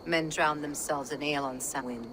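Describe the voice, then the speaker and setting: Woman playing a stern low-voiced village healer. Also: fairly even intonation, moderate pace, noisy background, close to an everyday voice. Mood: calm. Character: stern, dry-witted mature village healer woman, motherly. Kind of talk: video game voice acting.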